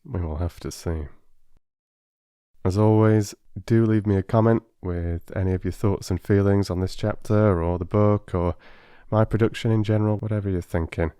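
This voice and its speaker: A man with a fairly low voice speaks as an audiobook narrator. His delivery is measured, deliberate and courteous, and the recording is dry and close. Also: fairly even intonation, dark tone, clear, resonant tone, dry recording. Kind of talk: measured storytelling and listener address.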